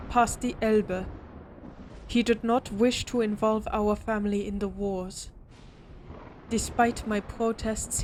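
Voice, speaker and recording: A somber, defiant ancient warrior woman played by a voice actress tells her tale in a dramatic audio roleplay. Her mid-pitched voice is grave and deliberate, leaving weighty pauses. Clearly audible ambient noise runs behind her. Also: darkish tone, close to an everyday voice. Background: ambient sound effects.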